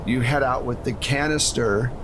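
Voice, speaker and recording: A man running a tabletop game as game master, narrating casually and explaining things conversationally. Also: normal speed. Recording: steady low rumble and hum behind voice.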